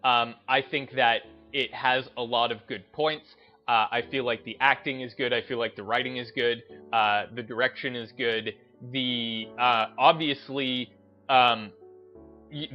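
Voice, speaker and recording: A man's voice: medium pitch, lo-fi sound. A man giving casual opinion commentary as a video host, emphatic and repeating phrases for stress.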